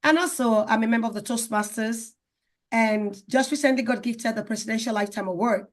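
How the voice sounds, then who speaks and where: A woman hosts an interview show with enthusiasm. Her voice sits a little high, and she speaks effusively, often repeating phrases for emphasis. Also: fairly quick. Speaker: show host. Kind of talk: enthusiastic talk show hosting.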